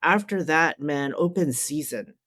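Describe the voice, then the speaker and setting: A woman's voice: low-pitched, measured pace, fairly bright tone, no room sound, studio quality. A middle-aged woman answering as an interview guest and academic author, candid, colloquial and emphatic.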